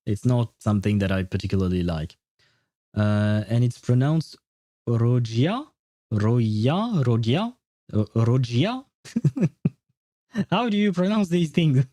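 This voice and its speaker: A man's voice: mid-range voice, warm tone, no echo. A man streamer chatting casually with viewers in a rambling, hesitant way. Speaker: livestreamer. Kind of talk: casual livestream chat, replying to viewers.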